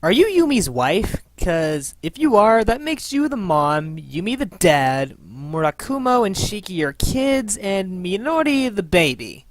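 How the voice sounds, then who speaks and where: A woman's voice: medium pitch, sing-song, measured pace, hardly any pauses, no echo. A woman hosting a scripted comedy question-and-answer show, reading out questions.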